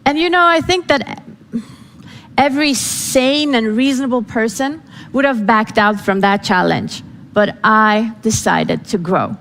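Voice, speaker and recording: A woman's voice: fairly high pitch, measured pace, a bit bright, in a large hall, a little hiss or hum. A woman whose first language is Farsi gives a dramatic storytelling speech, acting out the voices she quotes. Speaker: speaker. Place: event hall.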